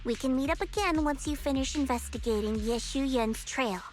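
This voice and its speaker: A woman voicing a proud young female investigator in an anime-style game, smug, teasing and brisk in manner. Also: sits high, soft background music, lightly acted. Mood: businesslike. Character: proud, haughty young female investigator boasting of her record.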